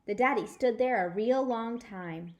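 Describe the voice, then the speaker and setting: A woman storyteller reading a children's book aloud in an animated, emphatic way. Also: fairly high pitch, a little slow. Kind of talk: expressive children's book read-aloud in a child narrator's words.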